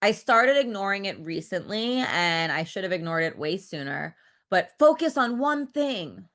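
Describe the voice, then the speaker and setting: A woman's voice: mid-range voice, big pitch swings, moderate pace, fairly bright tone. A woman in her thirties, a director guest in a casual interview, talking in a rambling, loose way, full of "like" and restarts, and swearing frankly.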